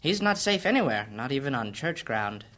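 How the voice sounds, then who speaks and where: A man's voice: medium pitch, big pitch swings, moderate pace, pushed and bright. Stern priest man with swooping delivery. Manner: stern, emphatic. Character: stern, unforgiving male priest. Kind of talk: fantasy audio drama acting.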